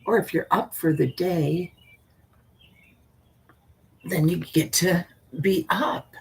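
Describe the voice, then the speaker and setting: An older woman storyteller with a husky, unpolished voice. She takes her time, speaking slowly in a folksy, meandering way, often trailing off or repeating herself as she hosts her stream. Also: on the low side, fairly even intonation. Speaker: storyteller and live stream host. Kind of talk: casual rambling chat with viewers; reading a spooky story aloud.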